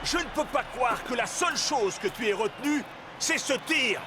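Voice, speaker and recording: A man's voice: extremely high pitch, animated, normal speed, background noise. A man playing a stern, wise football coach in a cartoon. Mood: urging. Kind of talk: cartoon dialogue. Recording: music behind.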